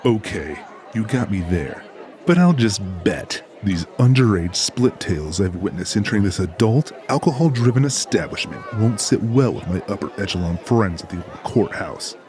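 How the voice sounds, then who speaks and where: Big sardonic tough, played by a man: lowish voice, wordy mock-formal sarcasm, audiobook drama. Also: very animated, moderate pace, a little mellow, a faint crowd. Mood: sarcastic, threatening.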